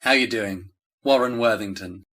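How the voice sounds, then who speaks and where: Relaxed, wry young rich guy, a man acting. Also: fairly low pitch, moderate pace, close to an everyday voice. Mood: friendly, wry. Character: easygoing, friendly rich eighteen-year-old young man. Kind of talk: audio drama acting.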